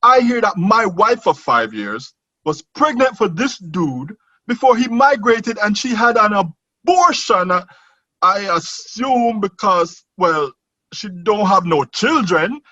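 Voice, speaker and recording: An actor playing a hurt, sarcastic betrayed husband in a radio drama. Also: male voice, high-pitched, sing-song, slow, sharp tone, highs cut off, full character voice. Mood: indignant, sarcastic.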